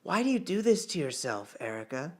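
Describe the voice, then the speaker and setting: A man's voice: on the high side, very animated, a little fast. A man reading the part of a concerned, weary small-town sheriff in a storybook narration. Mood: gently reproachful.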